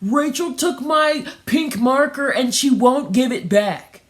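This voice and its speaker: A woman voicing indignant young pig schoolchildren in a storybook, whining and complaining loudly as tattletales. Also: sits high, animated, takes it slowly, voice pushed up. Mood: whiny, accusing. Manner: whiny, loud, complaining.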